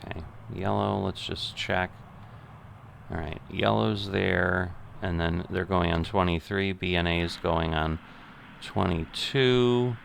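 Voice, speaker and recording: Gravelly, low-voiced man thinking aloud. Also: fairly bright tone, a little hiss or hum. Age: middle-aged. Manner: thinking aloud, methodical, halting. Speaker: gaming video host. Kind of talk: unscripted simulation game commentary.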